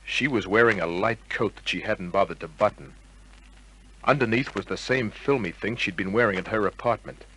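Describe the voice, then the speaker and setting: Sardonic hardboiled private eye, old radio. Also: male voice, fairly low pitch, normal speed, bright, forceful tone, slightly rough, poor audio. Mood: dry narration. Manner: sardonic, clipped, tough-talking. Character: wisecracking, suspicious, flirtatious hardboiled male private detective. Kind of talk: 1950s radio crime drama acting and first-person narration. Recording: old radio drama recording with music.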